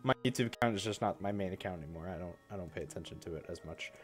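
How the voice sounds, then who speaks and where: A man's voice: low voice, racing, husky, faint music behind. A young man chatting casually on a live stream, a bit congested from a cold, rambling and correcting himself as he goes.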